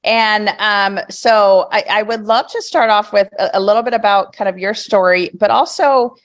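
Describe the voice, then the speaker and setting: A woman's voice: medium pitch, steady tone, normal speed. Woman podcast host, friendly and casual, fillers and restarts. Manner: friendly, filler-heavy, repeats words. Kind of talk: casual interview hosting.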